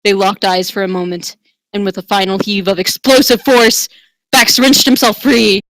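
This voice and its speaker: A woman's voice: medium pitch, steady tone, moderate pace, fairly bright tone, dry recording, low-quality recording. A woman giving a theatrical, tongue-in-cheek dramatic reading of a fantasy romance. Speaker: reader.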